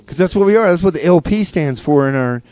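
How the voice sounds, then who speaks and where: A man hosting a radio show with casual, rambling DJ patter in a halting, tongue-in-cheek, mock-official manner. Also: fairly high pitch, lively intonation, a little mellow, clear, resonant tone.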